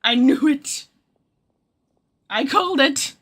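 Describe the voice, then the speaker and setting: A woman's voice: sits high, expressive, takes it slowly, fairly bright tone. A woman game streamer giving casual, informal livestream commentary, reacting aloud as she plays.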